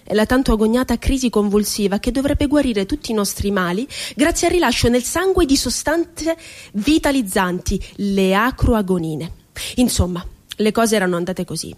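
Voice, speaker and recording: An actress playing a bitterly ironic psychiatric inmate in a radio theatre monologue, telling her story in a deliberate, dramatic way. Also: on the high side, darkish tone, natural voice. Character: woman psychiatric inmate recounting asylum horrors, bitterly ironic. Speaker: stage actress.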